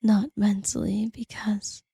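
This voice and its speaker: A woman plays a seductive, possessive lamia in an intimate audio roleplay. Her voice is very soft, dark and breathy, often dropping into a whisper with a creaky edge. She lingers slowly over her words, condescending and intimate, as if to a former lover. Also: medium pitch, level tone, no room sound, full character voice. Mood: bitter, possessive.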